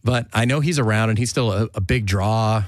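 A chatty man hosts a relaxed talk show, rambling along and restarting his sentences, with frequent laughs. The recording is dry, with no room echo. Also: on the low side, fairly quick. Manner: rambling, self-interrupting, laughs often. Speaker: podcast host. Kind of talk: casual chat.

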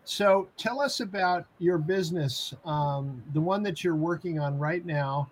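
A man with a fairly high-pitched voice hosts a conversational interview. He words his questions hesitantly and restates them. There is faint noise behind his voice. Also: unhurried. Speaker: interviewer, host.

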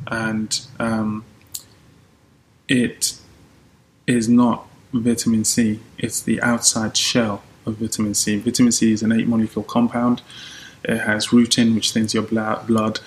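A man born in Colombia, a podcast guest, explaining things in a casual interview and trailing off into softer asides. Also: fairly low pitch, monotone, unhurried, slight background noise, low-quality recording.

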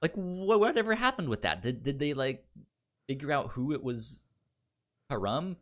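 Halting man with lively, swinging intonation. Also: mid-range voice. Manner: halting, repeats first sounds of words. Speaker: podcast co-host. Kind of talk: casual film discussion.

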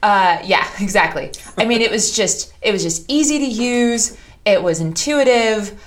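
A woman's voice: medium pitch, a little slow. Emphatic woman chatting on a podcast. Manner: emphatic, animated enthusiasm. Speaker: podcast co-host. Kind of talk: casual chat.

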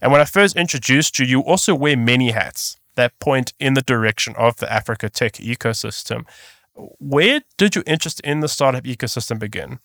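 Fast-talking male podcast host. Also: medium pitch, animated, fairly bright tone, dry recording, clean studio sound. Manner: chatty, quick, digressive. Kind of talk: interview host, casual chat.

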